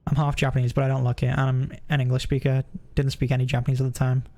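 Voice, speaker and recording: A young man from the UK chats with viewers while playing, rambling and stopping to correct himself. His pitch sits in a plain, middle range and his tone stays jokey and loose. He is close on a desk mic. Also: monotone, quick pace, a little mellow. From United Kingdom. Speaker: streamer. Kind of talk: casual chat with stream viewers while gaming.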